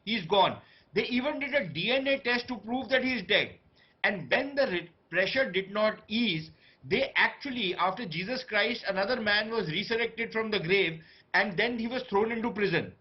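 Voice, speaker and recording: A man with an Indian accent speaks as a debate panelist in a fairly high voice. He lays out his analysis step by step with firm emphasis. The link sounds a little dull. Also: lively intonation, moderate pace. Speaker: panelist, commentator.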